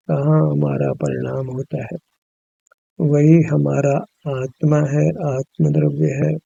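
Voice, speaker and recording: A man leading a guided meditation as a spiritual teacher, in a measured, instructive way, on a dull, muffled recording. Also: a little high, creaky, mellow, no echo.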